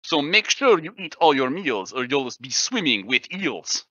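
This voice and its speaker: A man's voice: mid-range voice, very animated, measured pace, pushed and bright, no room sound, dull audio, exaggerated voice. A man playing a boastful, patriotic Soviet Russian miner in comic roleplay, with a put-on Russian accent and a bombastic, mock-solemn manner in broken grammar. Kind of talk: improvised comedy roleplay.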